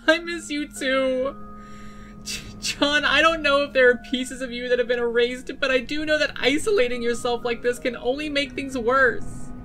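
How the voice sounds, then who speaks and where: A woman's voice: high-pitched, steady tone, normal speed, pushed and bright, soft background music. A woman voicing a caring, blunt and protective teenage sister in an earnest fan dub. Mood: tender, earnest. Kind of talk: emotional fan-dub voice acting.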